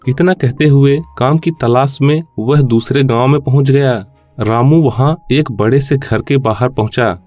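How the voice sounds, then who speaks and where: A man's voice: mid-range voice, a little slow, a little mellow, soft background music. A man narrating a scripted moral story for children.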